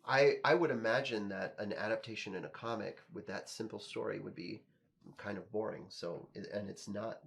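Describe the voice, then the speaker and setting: A man's voice: on the low side, moderate pace, vocal fry, slight background noise. A man hosting a podcast, casually giving his opinions and reasoning through them at length. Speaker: podcast host.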